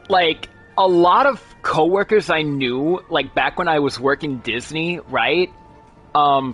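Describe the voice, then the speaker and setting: A man streaming a video game, chatting casually and excitably, rambling with lots of fillers and restarts. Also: mid-range voice. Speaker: gaming streamer. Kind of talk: casual live commentary while gaming. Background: faint game music.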